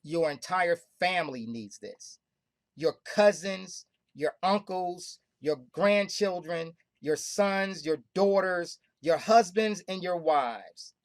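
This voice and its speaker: Woman host, emphatic repetitive sales pitch, dry close sound. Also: slow, no echo. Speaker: host of a money club call. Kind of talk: sales pitch on a group call.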